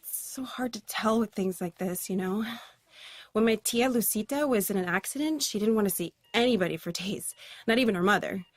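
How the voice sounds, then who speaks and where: A woman's voice: medium pitch, brisk, no room sound, close to an everyday voice. An actress playing a nervous young woman who rambles and apologizes, in an audio drama.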